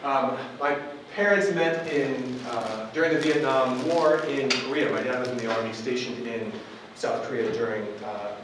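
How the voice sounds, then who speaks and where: A man in his forties from Buffalo, New York, giving a relaxed public lecture as a professor, with frequent ums and restarts. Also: mid-range voice, normal speed, few pauses. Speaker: university professor. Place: campus lecture hall. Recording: echoey hall from far off with noise.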